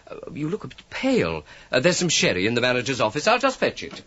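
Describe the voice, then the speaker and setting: A man's voice: mid-range voice, wildly swooping pitch, fast, highs cut off, background noise. A man playing a fussy, flustered deputy bank manager in a radio drama, dithering and obsequiously polite. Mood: apologetic, fawning.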